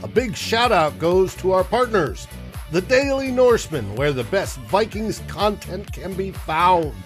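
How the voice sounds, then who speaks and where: A man's voice: high-pitched, expressive, a little slow, faint music behind. A man co-hosting a sports podcast, wordy and reading out sponsor plugs. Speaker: podcast co-host. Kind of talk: casual chat.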